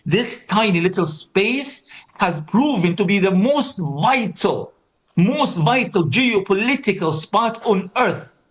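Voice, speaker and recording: Guy from palestine giving a forum talk, highish voice, fired up, says things twice for emphasis. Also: animated, slow. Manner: impassioned, repeats words for emphasis. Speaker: panel speaker, writer. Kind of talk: conference speech.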